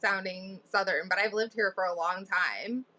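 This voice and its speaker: A woman's voice: medium pitch, normal speed, pushed and bright. A woman from New England, an author chatting casually as a guest and laughing often as she talks. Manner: giggly, laughs often while talking. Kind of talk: casual chat.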